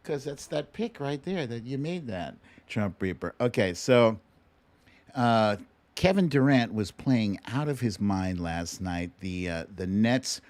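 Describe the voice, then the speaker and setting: A man's voice: mid-range voice, a little mellow, dry recording. A middle-aged American man chatting casually and playfully with viewers as host of a livestream. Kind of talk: casual live chat with viewers. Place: home studio.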